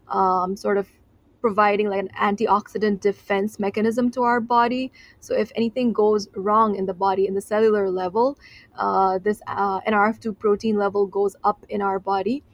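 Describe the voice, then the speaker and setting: A woman's voice: mid-range voice, dry recording. A young woman from Nepal whose first language is Nepali, a PhD student explaining her research in a radio interview, with frequent fillers. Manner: explains science slowly with fillers and hedges.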